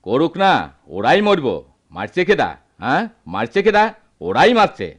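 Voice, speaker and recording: Poor village father: high-pitched, very animated, rustic Bangladeshi accent, bitter yet tender radio drama delivery. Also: normal speed. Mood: bitter, resigned. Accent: rural Bangladeshi. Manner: emotional, lamenting rustic speech.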